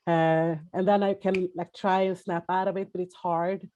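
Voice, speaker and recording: Halting Swedish-accented woman, dark voice. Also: on the low side, level tone. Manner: halting, hesitant, many restarts and fillers. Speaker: webinar panelist. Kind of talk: personal testimony on a webinar.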